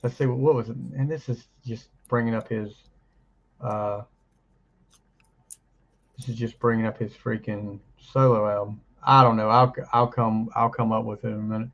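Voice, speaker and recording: Man, casual podcast host, deep after flu, rambling, dull lo-fi audio. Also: fairly even intonation, normal speed, creaky. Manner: rambling, laughs often, trails off mid-sentence. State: recovering from flu, voice deeper than usual. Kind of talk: casual chat.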